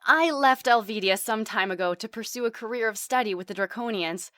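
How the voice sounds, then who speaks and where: Cast a woman as an eager elf mage: bubbly, chatty, slightly high, dry close recording. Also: brisk, pushed and bright, no room sound. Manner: bubbly, nervous laughs, chatty. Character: eager, scholarly young female elf mage. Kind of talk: audio drama acting.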